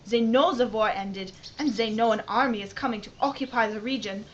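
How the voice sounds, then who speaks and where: A high-pitched young German woman played by an actress, frightened and pleading in a wartime audio drama. Her accented voice comes through slightly muffled, over noticeable hiss. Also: fairly quick, natural voice. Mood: urgent, pleading. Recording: muffled with hiss, ambient sound effects.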